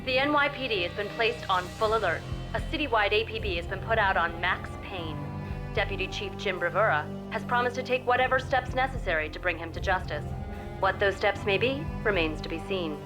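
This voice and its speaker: An actress playing a TV news anchor in an even, formal newsreader delivery. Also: female voice, medium pitch, brisk, close to an everyday voice. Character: crisp female TV news anchor. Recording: game audio with music.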